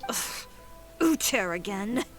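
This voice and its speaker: A woman's voice: mid-range voice, sing-song, takes it slowly, soft background music. A woman playing a proud, composed young fashion designer in a video game, dry and restrained. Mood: annoyed. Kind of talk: video game dialogue acting.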